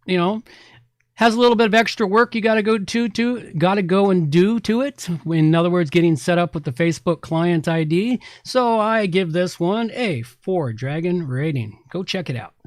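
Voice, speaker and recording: A man's voice: high voice, animated, moderate pace, dry recording. A man co-hosting a podcast, reviewing products in a casual, chatty, folksy way. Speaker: podcast co-host.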